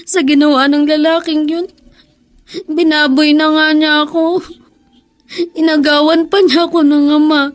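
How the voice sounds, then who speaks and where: A woman's voice: sits high, little pitch movement, unhurried, pauses often, no room sound, clean studio sound. A woman playing a grieving, bitter young student in a Filipino radio drama, with an emotional, tearful delivery. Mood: bitter anguish.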